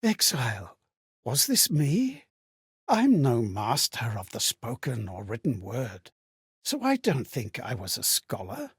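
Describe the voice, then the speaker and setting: An actor playing a gentle, sorrowful male spirit of borrowed memories in a video game, husky and breathy, with theatrical, musing delivery. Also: medium pitch, wildly swooping pitch, takes it slowly, no echo, clean studio sound, full character voice. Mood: wistful, searching. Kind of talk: scripted video game character monologue.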